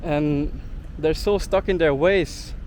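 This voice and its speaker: Young male vlogger, slightly high voice, indignant rant full of "like," faint street traffic behind. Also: slow pace, darkish tone. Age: young adult. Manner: indignant, heavy use of "like" fillers. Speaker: travel vlogger. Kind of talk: ranting vlog monologue.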